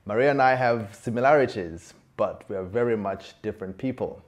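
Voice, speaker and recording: A man's voice: lowish voice, brisk, dull audio. Man presenting a sermon. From Tanzania. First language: Swahili. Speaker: church leader. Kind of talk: presenting a sermon segment to camera. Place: studio set.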